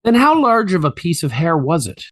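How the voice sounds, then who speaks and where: A man acting as a nineteenth-century prosecuting attorney in a courtroom drama. He is methodical and probing, phrasing each question formally. His voice sits a little high and is very animated in its inflection. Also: normal speed. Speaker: voice actor reading a trial transcript. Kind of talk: dramatized courtroom transcript reading, examination.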